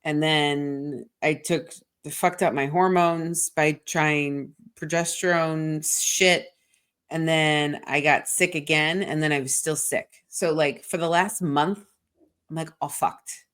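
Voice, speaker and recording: A woman's voice: deep voice, steady tone, takes it slowly, a bit bright, clean tone. A woman co-hosting a podcast, chatting frankly and rambling with lots of "like" and swearing, sounding congested. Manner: rambling and frank, swears often, heavy "like" filler. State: congested from a sinus infection. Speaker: podcast co-host. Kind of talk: casual chat.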